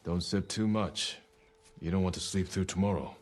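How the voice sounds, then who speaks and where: A man acting a deep-voiced, solemn samurai leader. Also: close to an everyday voice. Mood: warm, steady. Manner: measured, grave, commanding. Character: resolute samurai leader, solemn, loyal to his companions. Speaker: war leader. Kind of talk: dramatic video game acting. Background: music.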